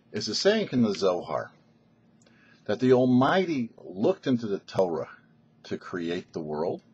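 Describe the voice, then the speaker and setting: A man's voice: mid-range voice, darkish tone, breathy edge, muffled recording. A man speaking as a rabbi and show host, giving a short scripted religious teaching in a didactic way with rhetorical questions. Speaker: rabbi, host of a weekly Torah portion show.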